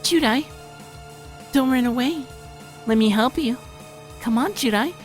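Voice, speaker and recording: A man voicing an unhinged, sweetly menacing young woman in a horror visual novel, in a coaxing, sing-song way. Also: unhurried. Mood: coaxing, pleading. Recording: music behind, low-quality home recording.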